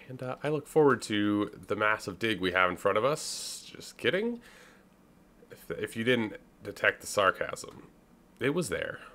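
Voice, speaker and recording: A man from Canada with a deep voice giving casual let's play commentary, musing aloud with wry, self-deprecating asides. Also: fairly bright tone. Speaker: gaming YouTuber, host.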